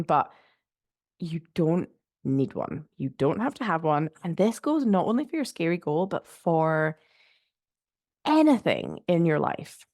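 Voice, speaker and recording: A young woman hosting a relaxed solo podcast from her spare room, sounding congested and nasal from blocked sinuses. She chats in a rambling way, with lots of "like" fillers and light laughs. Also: on the low side, gravelly, dry recording, studio quality. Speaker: podcast host.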